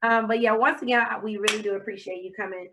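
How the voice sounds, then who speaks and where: A woman's voice: medium pitch, steady tone, fast, noisy background. A woman hosting a casual podcast interview over a video call, encouraging and chatty, sometimes fumbling her phrasing. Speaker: podcast host.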